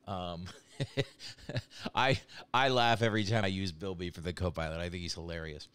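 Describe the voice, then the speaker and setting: Deep, clear-voiced man giving a demo. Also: fairly even intonation, moderate pace, a bit bright. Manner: explanatory, conversational. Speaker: software demo presenter. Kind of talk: casual screen-share demonstration narration.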